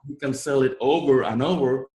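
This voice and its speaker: A man's voice: mid-range voice, moderate pace, dark tone, reverberant. A man from Puerto Rico with a Puerto Rican Spanish accent, Spanish his first language, telling his story as an interview guest in an earnest way, often repeating words. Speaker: guest, online marketer.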